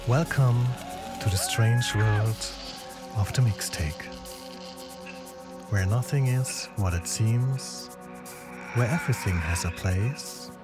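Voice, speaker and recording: A man's voice: lowish voice, slow pace, pauses often. A man playing a radio host who presents a show and interviews guests in a radio drama. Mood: announcing. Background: loud music.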